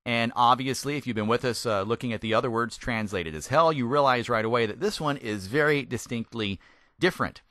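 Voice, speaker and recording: A man with a mid-pitched, bright voice teaches scripture in a conversational sermon style. He is a native English speaker who stresses key words deliberately and puts questions to his listener. The sound is dry, with no room on it. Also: dry recording. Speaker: Bible teacher, former pastor.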